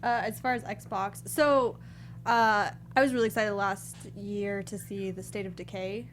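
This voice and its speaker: A woman's voice: mid-range voice, fairly even intonation, unhurried. A woman chatting casually about video games as a co-host on a panel podcast.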